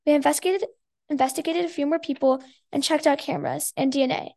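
A woman's voice: high-pitched, fairly even intonation, fast, mellow. A girl of about eleven, a sixth grader, reading her own story aloud. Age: child, about eleven or twelve. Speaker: sixth grade student guest.

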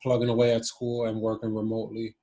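A man in his twenties from Cuba and Miami, chatting casually and thoughtfully as an interview guest, pausing as he searches for words. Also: on the low side, monotone, dark tone. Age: 20s. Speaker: interview guest, former basketball player. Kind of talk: casual reflective interview chat.

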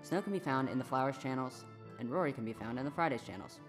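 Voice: medium pitch, expressive, fast. A podcast creator reading scripted end credits. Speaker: credits reader. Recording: clear music behind the voice.

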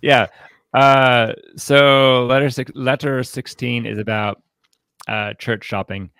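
A man hosting a podcast, discussing in a casual way, haltingly, often repeating words and saying "like". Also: mid-range voice, fairly even intonation, creaky, fairly bright tone, clean tone, no room sound. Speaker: podcast host.

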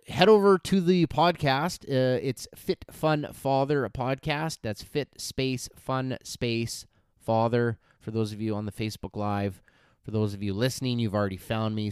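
A man's voice: medium pitch, moderate pace, smooth, dry recording, clean studio sound. A man hosting a fitness podcast and livestream, talking loosely and encouragingly, with many fillers and restarts. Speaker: podcast host and fitness coach. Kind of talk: casual podcast and livestream wrap-up.

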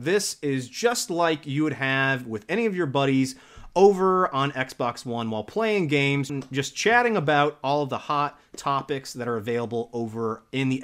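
A man's voice: mid-range voice, a bit bright. A man hosting a casual gaming podcast, upbeat and enthusiastic, a dad in his thirties. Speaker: podcast host.

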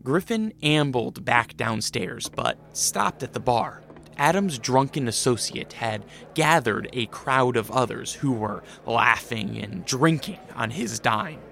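A man with a gravelly voice reading scripted narration for a radio drama. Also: medium pitch, lively intonation, slow pace, fairly bright tone.

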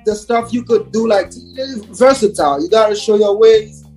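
A man's voice: high voice, normal speed, warm tone, faint music behind. A man from Haiti talking casually as a hip hop artist guest on a podcast, in slangy, repetitive, emphatic phrases.

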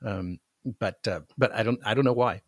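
A man with a husky, fairly low voice chats as a guest on a book podcast. He is conversational and thoughtful, and the sound is close and dry. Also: normal speed, dry recording. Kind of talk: casual book discussion chat.

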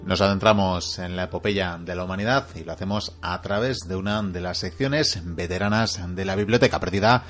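A man's voice: low voice, brisk, hardly any pauses, fairly bright tone, faint music behind. A man hosting a history radio show, with wry, playful banter. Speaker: host.

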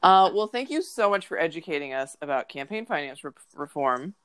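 Podcast co-host, woman, congested, low voice, dry jokes. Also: lively intonation, brisk, a bit bright, clear, resonant tone. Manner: joking, wry asides. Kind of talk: casual chat.

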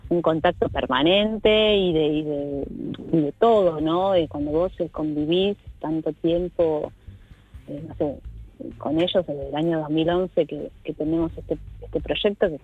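Halting woman with a Buenos Aires accent. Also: low-pitched, phone-quality sound. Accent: Buenos Aires Spanish. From Argentina. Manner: hesitant, many restarts and fillers. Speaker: musician guest. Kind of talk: casual interview chat.

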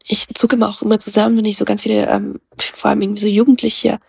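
Gravelly husky-voiced woman, native Russian speaker. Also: mid-range voice, little pitch movement, fast, darkish tone, no room sound. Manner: thoughtful, many hesitations and fillers. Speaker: guest. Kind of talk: casual conversation.

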